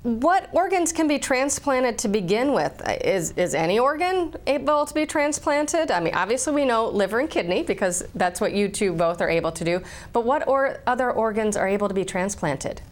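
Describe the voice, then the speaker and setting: A woman hosting a medical interview show, asking questions in a friendly, steady way. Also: a little high, moderate pace, hardly any pauses. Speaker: TV health show host.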